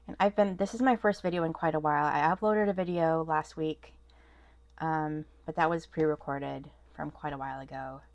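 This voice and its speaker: Low-voiced nasal woman with a cold. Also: steady tone, moderate pace, gravelly, no room sound, highs cut off. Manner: chatty and rambling, many ums and restarts. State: stuffy nose from a cold. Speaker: vlog host. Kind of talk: casual haul vlog chat. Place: at a home desk.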